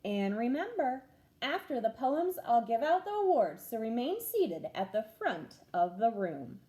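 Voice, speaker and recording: A woman voicing a kindly, encouraging first-grade teacher in a storybook, calm and gently directing. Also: on the high side, measured pace.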